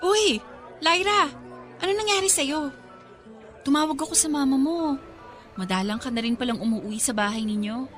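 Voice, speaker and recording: A woman's voice: high voice, moderate pace, long pauses, a bit bright, faint music behind. A woman playing a protective, outspoken young best friend, emphatic and scolding, with a Filipino accent in a Tagalog radio drama. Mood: concerned.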